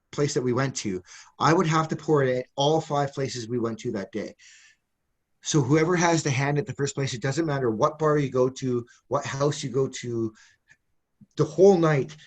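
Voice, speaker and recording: A man from Canada tells stories in a relaxed podcast chat. His voice sits in the middle range, and he is animated and quick to laugh as he talks. He often restarts his sentences. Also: mid-range voice. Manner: animated storyteller, laughs mid-story, frequent restarts. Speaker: guest journalist. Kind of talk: casual storytelling chat.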